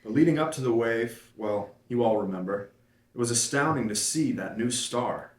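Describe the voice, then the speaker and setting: A man reading a screenplay part at a table read, playing a calm, detached actor and writer. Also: medium pitch, moderate pace, reverberant, close to an everyday voice.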